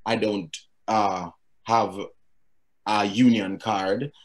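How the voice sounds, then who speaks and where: A man's voice: lowish voice, fairly even intonation, very slow. A man in his thirties with a thick Jamaican accent, answering interview questions over a video call with an easygoing manner, often restarting his sentences. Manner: easygoing, frequent fillers and restarts. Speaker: guest, theatre producer. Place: home room.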